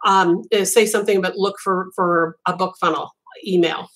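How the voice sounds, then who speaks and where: A woman's voice: on the low side, fairly even intonation, normal speed, fairly bright tone. A woman whose first language was Italian, hosting a casual live chat as a crochet designer, rambling with frequent ums and restarts.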